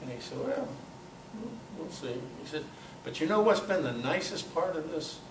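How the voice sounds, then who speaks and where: An older man giving an informal talk at a club meeting, telling personal anecdotes in a halting, conversational way. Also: on the high side, very animated. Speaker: guest speaker at a club meeting. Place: meeting room, in front of an audience. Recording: echoey, noisy room recording from far off.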